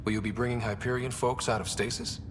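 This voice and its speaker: Man playing an easygoing young space explorer, mid-pitched. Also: fairly even intonation, fast talker, background noise, close to an everyday voice. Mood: easygoing, curious. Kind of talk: scripted video game dialogue.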